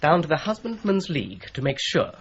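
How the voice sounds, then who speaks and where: Husky, higher-voiced solicitor sleuth, patient explainer, lo-fi radio play. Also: male voice, very animated, fairly quick, heavily acted. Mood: explaining. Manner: methodical, patiently explaining his theory. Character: Boone, shrewd young male solicitor-detective, patient explainer. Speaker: solicitor investigating a fraud. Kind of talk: BBC-style radio drama acting. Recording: dull lo-fi radio play recording.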